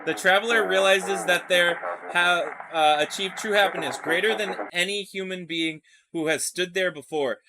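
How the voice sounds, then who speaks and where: A man voicing a solemn former diplomat and canal developer in formal, measured oratory, reading a horror story aloud. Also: high-pitched, background noise, lightly acted. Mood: solemn, grandiose.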